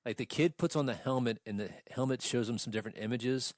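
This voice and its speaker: A man with a mid-range voice rants casually like a podcast host. He swears freely and tells things in an animated, exasperated way. The sound is dry, with very little room to it. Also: mid-range voice, fast, no echo. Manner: profane, exasperated, animated storytelling. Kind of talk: casual movie rant chat.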